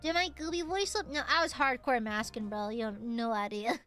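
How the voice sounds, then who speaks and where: A high, bright woman's voice with a nasal edge, chatting casually to her stream audience. She rambles, giggles and swears offhandedly, and her pitch bounces all over the place. Soft music plays faintly underneath. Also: steady tone, a little fast. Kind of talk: casual stream chat with viewers.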